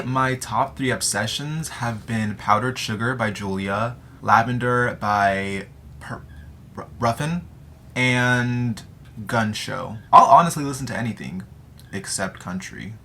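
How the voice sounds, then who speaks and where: A man's voice: mid-range voice, bright, forceful tone, a little hiss or hum. A 19-year-old man with an American accent chatting casually and playfully to his audience in a slangy, joking way. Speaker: vlogger answering audience questions. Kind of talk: casual chat to camera.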